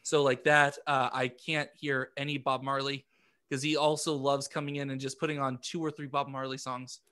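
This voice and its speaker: A man chatting casually as a podcast co-host, halting and repeating words as he works out his thoughts, often self-deprecating. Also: medium pitch, little pitch movement, bright, forceful tone. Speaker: podcast co-host and amateur musician. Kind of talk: casual group chat.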